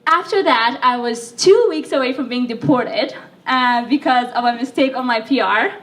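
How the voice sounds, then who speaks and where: Chatty high-voiced woman, heard from afar. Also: moderate pace, fairly bright tone. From Libya. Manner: chatty, self-deprecating, with fillers. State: sick. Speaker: speaker. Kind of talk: speech to an audience. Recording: voice from far off the mic.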